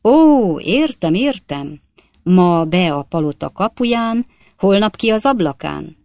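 A woman's voice: lowish voice, expressive, a little mellow, dry recording. A woman voicing a boastful, talkative male swindler who speaks in short, clipped fragments. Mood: lurid storytelling. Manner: clipped, breathless fragment sentences. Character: boastful chatty male swindler, young gent.

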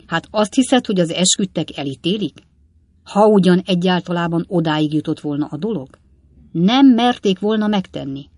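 A woman's voice: fairly low pitch, a little mellow, no echo, natural voice. Woman acting as old coachman, grumbling, pompous, emphatic, indignant storybook father, dry close sound. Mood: argumentative. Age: older. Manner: emphatic, indignant, homespun reasoning.

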